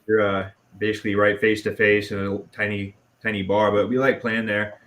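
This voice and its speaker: Band guy chatting on a show, lowish voice, laid back, pokes fun at himself. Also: level tone, normal speed, a bit bright. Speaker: guest musician in a band. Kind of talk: casual chat, being interviewed.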